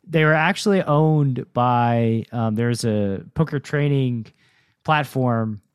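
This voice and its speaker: A man hosting a casual podcast chat, relaxed and chuckling as he explains things. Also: mid-range voice, takes it slowly, muffled recording, noisy background.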